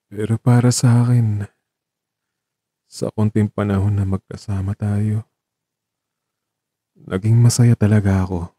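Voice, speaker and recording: A man with a Filipino accent acting a gentle, dying young man in a radio drama, soft and wistful as he talks tenderly to his lover. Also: lowish voice, fairly even intonation, a little slow, pauses often, no room sound, studio quality. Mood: tender, frail.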